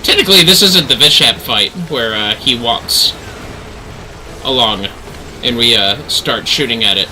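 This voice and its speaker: A man's voice: medium pitch, animated, measured pace, pushed and bright, slight background noise, poor audio. A man livestreaming a video game, giving casual running commentary as he plays.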